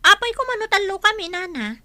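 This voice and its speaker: A woman's voice: extremely high pitch, very animated, brisk, pushed and bright, dry recording, full character voice. A woman playing a cheeky, lazy young daughter in an Ilocano comedy radio drama, whining and arguing with an Ilocano Filipino accent. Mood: excuse-making.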